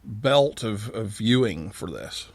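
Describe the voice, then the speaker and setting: A man hosting a call-in radio show in a casual, halting, informal way. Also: on the low side, expressive, dry recording. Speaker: radio show host, science teacher.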